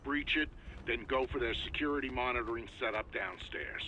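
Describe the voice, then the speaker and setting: An actor playing a businesslike mission handler giving crisp instructions in a game. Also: male voice, medium pitch, brisk, pushed and bright, slight background noise, lightly acted. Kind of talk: acted video game briefing.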